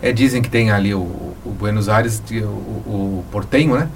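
A man's voice: on the low side, fairly even intonation, moderate pace, vocal fry, slight background noise. A man with a Brazilian accent interviewing a guest casually as the host of a show.

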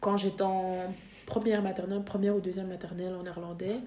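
A woman's voice: medium pitch, level tone, fast talker, roomy sound, a crowd in the background. A young woman from Belgium, a podcast guest talking casually, hesitating with many fillers and restarts. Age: young adult. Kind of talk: casual interview.

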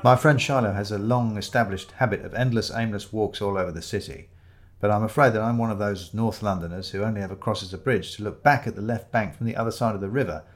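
Low-pitched man narrating a story. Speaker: audiobook narrator. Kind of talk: scripted first-person story narration.

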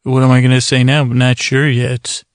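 A man hosting a bedtime podcast in a creaky, gravelly voice, rambling and halting in a self-deprecating way to lull listeners to sleep. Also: medium pitch, monotone, no echo, clean studio sound. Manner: meandering, halting, self-deprecating, with pauses and trailing repetitions. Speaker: podcast host.

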